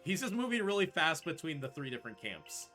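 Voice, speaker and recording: Male gamer, high bright voice, animated swings, joking live commentary over music. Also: a little fast. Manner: excitable, joking. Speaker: speedrunner playing and commentating. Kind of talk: casual live game commentary.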